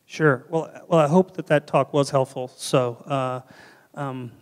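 A man's voice: mid-range voice, steady tone, measured pace. A middle-aged man from North Carolina giving a conference keynote with a handheld mic, earnest and restarting often. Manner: earnest, gestures broadly, frequent restarts and ums. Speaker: keynote speaker and panel guest. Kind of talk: conference keynote and fireside chat. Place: large hall on stage. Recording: hall reverb.

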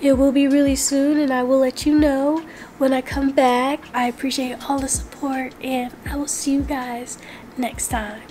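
A woman in her twenties, hoarse from losing her voice, chatting casually to her viewers in a vlog. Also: high-pitched, flat delivery, over music. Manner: chatty, drawn-out filler words. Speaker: vlogger. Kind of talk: casual chat to camera.

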